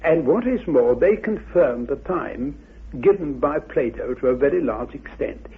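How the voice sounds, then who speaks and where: Male researcher, extremely husky and creaky, formal deliberate commentary, thin dull radio sound. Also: mid-range voice, expressive. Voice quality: gravelly, husky. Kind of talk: expert commentary. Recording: dull, phone-like old radio recording.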